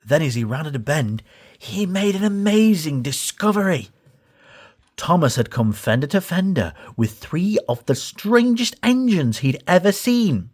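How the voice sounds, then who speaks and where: A man's voice: on the high side, big pitch swings, unhurried, darkish tone. A man reading a children's story as a scripted storybook narration. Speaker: story reader.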